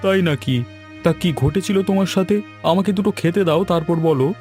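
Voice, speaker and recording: A man's voice: sits high, a little fast, a little mellow, faint music behind, close to an everyday voice. A man voicing a practical, chatty village husband in a cartoon, conversational and exclamatory. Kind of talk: cartoon dialogue.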